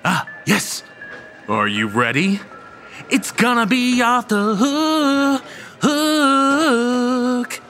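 A young man acting a goofy college stoner with showy salesman patter and theatrical, drawn-out emphasis. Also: very high voice, expressive, sharp tone, faint music behind, exaggerated voice. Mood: playful. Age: young adult. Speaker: actor in audio drama. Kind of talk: theatrical dialogue in old time radio style audio play.

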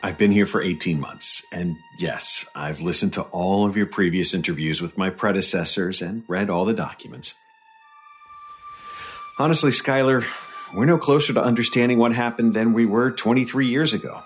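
A low-pitched, awkward male researcher voiced by an actor over faint music. He is earnest and apologetic, fumbling through his words in naturalistic radio drama style. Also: normal speed, lightly acted. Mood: earnest, sympathetic. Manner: hesitant, fumbling, conciliatory. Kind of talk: naturalistic audio drama acting.

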